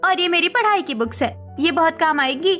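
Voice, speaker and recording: Supportive, assertive young daughter-in-law female actor, high-pitched, animated family drama, music bed. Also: a little fast. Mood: helpful. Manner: earnest, firm.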